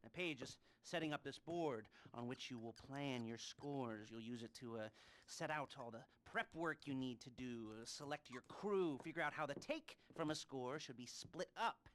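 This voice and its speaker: An actor playing a snarky, awkward middle-aged American criminal planner in a video game, rambling in a sardonic, nasal way. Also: male voice, mid-range voice, normal speed, close to an everyday voice. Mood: hesitant explaining. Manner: rambling, sardonic, awkward muttered asides and chuckles. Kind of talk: video game cutscene dialogue. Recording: loud car engine and road noise.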